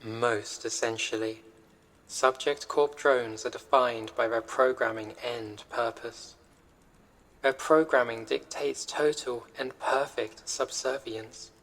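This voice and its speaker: A man voicing an impassive corporate AI in an audio roleplay, reciting directives in a formal, measured, impersonal way. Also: mid-range voice, fairly even intonation, a bit bright, low-quality recording. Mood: impassive, instructive. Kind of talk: scripted audio roleplay announcement.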